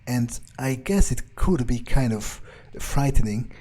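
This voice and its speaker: Deadpan, mellow-voiced man musing sardonically. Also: medium pitch, slow. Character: jaded, unimpressed male vampire muttering his thoughts. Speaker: let's player roleplaying in character. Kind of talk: improvised in-character game commentary, thinking aloud.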